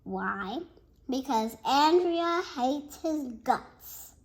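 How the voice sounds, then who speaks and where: A child reading a bedtime story aloud in an expressive, halting way, with asides to listeners. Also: high voice. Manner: expressive, halting, shouts out dialogue. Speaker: storybook reader.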